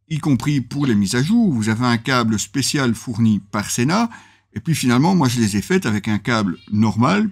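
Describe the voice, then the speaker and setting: A man hosting a motorcycle gear review, explaining things in a semi-scripted way with hesitant pauses mid-phrase. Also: medium pitch.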